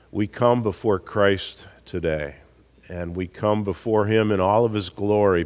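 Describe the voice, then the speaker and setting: A male pastor with a hoarse, gruff voice from a cold, welcoming a congregation in a slow, folksy way with many pauses. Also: deep voice. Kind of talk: church service welcome and announcements. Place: church sanctuary. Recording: live church hall with long reverb.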